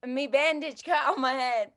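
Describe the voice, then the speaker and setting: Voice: high-pitched, fairly bright tone, voice pushed down, full character voice. An actor playing a terse, stoic male scout who speaks broken English in an old-time western radio drama.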